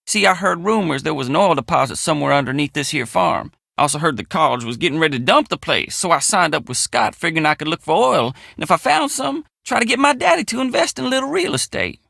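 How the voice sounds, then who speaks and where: An excitable young country handyman for a video game, with a Southern American drawl. His pitch sits high and swings widely, with a creaky edge. He talks in earnest, folksy, homespun phrases. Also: dry recording, clean studio sound, full character voice. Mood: earnest, confiding. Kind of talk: video game character dialogue.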